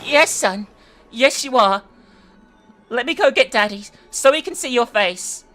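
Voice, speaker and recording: An anxious, gentle mother as a woman narrator puts her on, soothing but worried. The voice is slightly high and bright, in a storybook horror style, over faint music. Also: expressive, measured pace. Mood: frightened.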